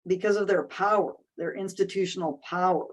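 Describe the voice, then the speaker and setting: A woman's voice: fairly low pitch, fairly even intonation, fairly quick. A woman hosting a casual discussion, speaking thoughtfully with frequent restarts and fillers. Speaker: host.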